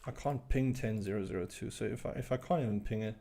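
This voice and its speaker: A man hosting a live tech stream, thinking aloud as he types commands, his voice croaky today. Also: on the low side, quick pace, warm tone. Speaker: livestream host. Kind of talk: casual live tech walkthrough.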